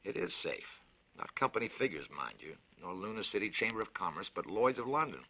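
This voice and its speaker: Man voicing a deep, insistent moon-base official. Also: sing-song, fairly quick, gravelly, sharp tone, heavily acted, low-quality recording. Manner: persuasive, insistent. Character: defensive male lunar engineering official giving sales talk.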